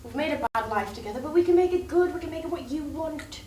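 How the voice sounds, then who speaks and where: A devoted, self-sacrificing woman in love, pleading in earnest, measured phrases. The voice is high and theatrical. The recording is distant and echoey, with clear background noise. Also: lively intonation, darkish tone. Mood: pleading, hopeful. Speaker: stage actor. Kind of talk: theatrical stage drama dialogue. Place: theatre stage, distant microphone.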